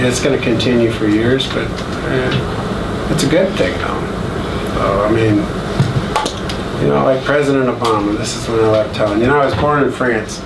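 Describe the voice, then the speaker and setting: A man giving a relaxed guest lecture and telling joking anecdotes to an audience. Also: mid-range voice. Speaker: guest speaker. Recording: echoey room, distant mic, air-conditioning hum.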